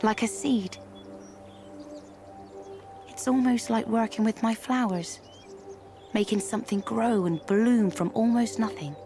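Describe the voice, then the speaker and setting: An actress playing a wry, worldly herbalist and fortune-teller in a game cutscene, musing with a theatrical touch. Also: female voice, fairly high pitch, a little slow, long pauses. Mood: musing, sardonic. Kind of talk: video game cutscene acting. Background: music.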